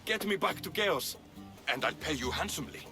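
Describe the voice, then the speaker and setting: An actor playing an entitled, easily indignant younger brother from a pirate family in a video game. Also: male voice, on the high side, animated, fairly quick, natural voice. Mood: relieved, urgent. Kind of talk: video game cutscene dialogue acting. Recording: prominent music behind the voice.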